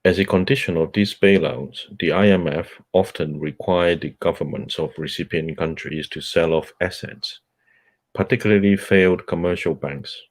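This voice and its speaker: A man whose first language is Cantonese, hosting a book club and reading a nonfiction book aloud in a measured way, pausing on fillers between phrases. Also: low voice.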